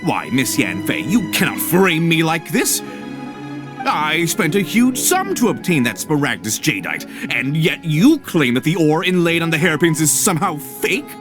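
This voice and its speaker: Indignant merchant, theatrical, polished phrasing, very animated pitch, video game cutscene. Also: male voice, unhurried, over music. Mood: flustered, indignant. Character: indignant, slippery male merchant arguing his case.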